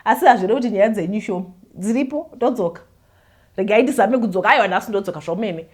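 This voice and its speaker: A woman's voice: mid-range voice, quick pace. A Zimbabwean woman hosting her own channel, chatting casually and animatedly to her viewers and switching freely between Shona and English. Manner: animated, mixes Shona and English, laughs often. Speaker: YouTube host.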